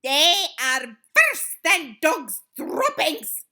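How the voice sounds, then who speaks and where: A woman's voice: extremely high pitch, sing-song, takes it slowly, bright, forceful tone, voice pushed up, full character voice. A woman voicing a tyrannical, child-hating chief witch in a children's storybook, screeching and barking out imperious orders. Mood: disgusted fury. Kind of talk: theatrical children's audiobook villain.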